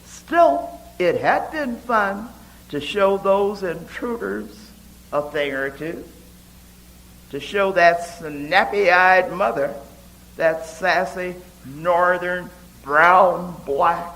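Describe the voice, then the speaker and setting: A man's voice: high voice, very slow, fairly bright tone, heavily acted, rough recording. A man reading the part of a hateful, boastful husband in a poem, with emphatic contempt. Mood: boastful menace. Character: sneering, hateful Southern husband, boastful and resentful.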